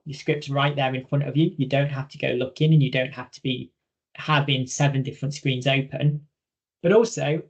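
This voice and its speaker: A man with a fairly high, very creaky voice presents training in a webinar. He talks informally and straight to the listener, repeating phrases for emphasis. The audio is slightly dull and muffled. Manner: informal, direct, addresses listeners, emphatic repetition.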